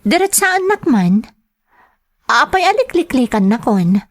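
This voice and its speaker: A woman's voice: high voice, sing-song, unhurried, no room sound, studio quality, full character voice. A woman playing a defiant, hot-tempered young single mother in an Ilocano-accented radio drama, with an emphatic delivery. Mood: indignant, accusing. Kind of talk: Filipino radio drama acting.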